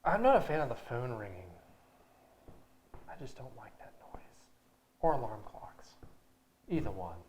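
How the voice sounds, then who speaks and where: A man's voice: medium pitch, big pitch swings, normal speed, pauses often, darkish tone. A man chatting casually and playfully as a guest on a panel, often joking. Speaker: theatre director being interviewed. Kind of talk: casual chat. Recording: roomy echo with faint noise.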